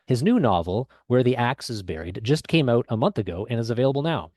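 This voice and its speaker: A man's voice: mid-range voice, sing-song, brisk, darkish tone, dry recording, clean studio sound. A man hosting a podcast, introducing a guest and asking friendly questions. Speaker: podcast host.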